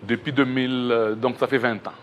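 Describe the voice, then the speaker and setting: A middle-aged man from Kinshasa with a Québec-tinged French accent, a researcher answering interview questions in a measured, modest way in a TV studio. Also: medium pitch, steady tone, slight background noise. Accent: Québécois-tinged French. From Kinshasa, Congo. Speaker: education researcher, guest.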